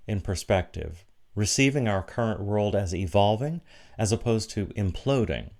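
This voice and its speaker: Low-voiced man giving a reflective sermon. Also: a little mellow. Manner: measured, deliberate phrasing with short emphatic pauses. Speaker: preacher giving a sermon for an online church service.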